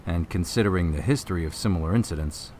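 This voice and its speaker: A man playing a plain-spoken, methodical policeman in an audio drama, talking in a measured, matter-of-fact way. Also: sits low, a little mellow, slight background noise, close to an everyday voice. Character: plain-spoken policeman, uneasy but methodical, acting on a hunch.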